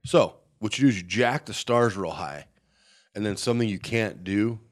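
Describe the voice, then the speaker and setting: A man telling a rambling, animated story in casual chat, with a somewhat nasal voice. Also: fairly low pitch, moderate pace.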